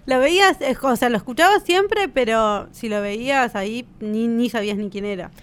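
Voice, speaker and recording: High-pitched Argentine woman podcaster. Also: normal speed, clean tone. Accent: Argentine Spanish. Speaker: podcast co-host. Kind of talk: casual conversational discussion.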